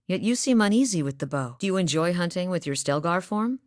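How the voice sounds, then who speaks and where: An actress voicing a steady, blunt woman hunter from a far northern village, with a matter-of-fact manner and dry humor, in a studio game recording. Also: lowish voice, fairly quick, no echo, close to an everyday voice. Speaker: game character voice lines. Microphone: close studio mic.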